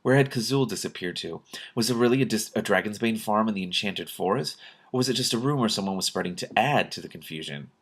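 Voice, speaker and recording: A man's voice: lowish voice, expressive, fast talker, fairly bright tone, slightly rough, full character voice. Man reading as a wry, questioning forest king. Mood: puzzled. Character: puzzled, wry young king of a magic forest.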